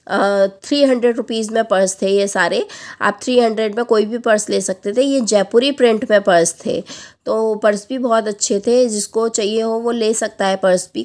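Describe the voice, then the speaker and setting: Woman in her thirties, family vlogger, sore husky throat, middle-pitched voice, chatty narration that pauses a lot while reading off prices. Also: a little mellow. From Lucknow, India. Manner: chatty, lists prices with frequent hesitant pauses. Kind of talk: voice-over narration of a family outing.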